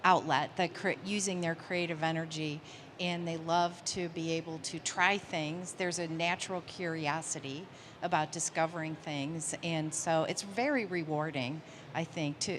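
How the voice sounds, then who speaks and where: A woman's voice: sits low, a little hiss or hum. A woman in her sixties moderating a conference panel, effusive and full of hesitant fillers. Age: 60s.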